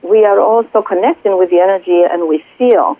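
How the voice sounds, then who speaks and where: A woman from Greece with a Greek accent, a spiritual coach speaking earnestly and haltingly as a guest on a radio interview. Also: mid-range voice. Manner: earnest, halting, many pauses and fillers. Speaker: spiritual coach and Reiki healer. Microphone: telephone. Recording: tinny phone line, dry.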